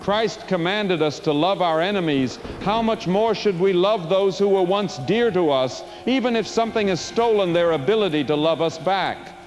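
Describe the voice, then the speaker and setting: A man's voice: high-pitched, lively intonation. A man playing a solemn small-town pastor preaching earnestly and rhetorically to a frightened flock in an audio drama. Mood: hopeful, urging. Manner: earnest, rhetorical, measured oratory.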